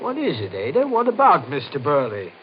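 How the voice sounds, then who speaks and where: Blunt, lively radio-play estate agent. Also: male voice, a little high, brisk. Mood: alarmed, urgent. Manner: brusque, matter-of-fact. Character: blunt, skeptical, money-minded male estate agent. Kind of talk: old-time radio horror acting. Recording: noisy, muffled old radio recording.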